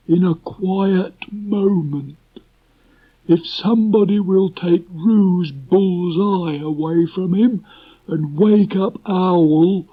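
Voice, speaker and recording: A man voicing a gloomy, self-important old donkey in a children's storybook, declaiming in a ponderous, halting, doleful way. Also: high voice, pitched above the performer's own voice, full character voice. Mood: pompous, mournful. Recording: muffled old cassette tape transfer.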